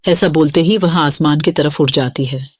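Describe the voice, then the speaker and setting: A woman's voice: deep voice, normal speed, a little mellow, breathy edge, no echo, clean studio sound. A woman narrating a scripted children's story.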